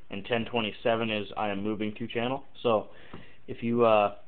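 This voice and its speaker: Lowish guy voice on tinny phone-quality audio, casual tutorial, kinda halting, thinks out loud, does example radio callouts. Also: clear, resonant tone. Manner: informal, halting, thinking aloud. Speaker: amateur CB radio tutorial maker. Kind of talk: casual explainer with acted example radio calls.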